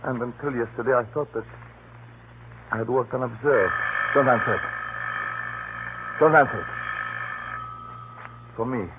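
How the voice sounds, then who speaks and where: A man's voice: medium pitch, measured pace, pauses often, lightly acted. A man playing a fearful, hunted little informant, secretive and nervously insistent, in a 1930s radio drama. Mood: secretive, earnest, then anxious, urgent. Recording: muffled, noisy 1930s radio recording. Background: faint hiss and noise.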